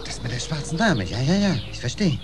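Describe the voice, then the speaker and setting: Gravelly, animated listener. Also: male voice, fairly high pitch, a little fast. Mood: intrigued. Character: sympathetic male listener addressed as Mister. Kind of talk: 1940s German radio play acting. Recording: very noisy 1940s radio play recording.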